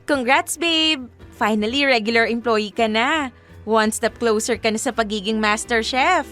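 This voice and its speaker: A woman playing a devoted, expressive young girlfriend in a Filipino radio drama. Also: fairly high pitch, slow, soft background music. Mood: delighted, proud. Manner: expressive, affectionate. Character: devoted, cheerful young girlfriend named Monet.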